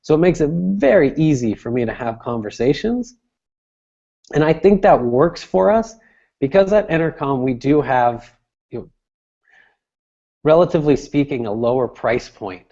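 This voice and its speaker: A man's voice: fairly high pitch, expressive, normal speed, creaky, pauses often, warm tone, lo-fi sound. A man giving conversational, explanatory interview answers as a guest, restating his phrases as he goes. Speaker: interview guest, sales director.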